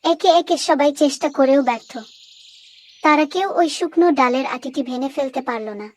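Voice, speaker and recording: A woman narrating a moral story for children in a clear, didactic storytelling manner. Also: sits high, a little fast.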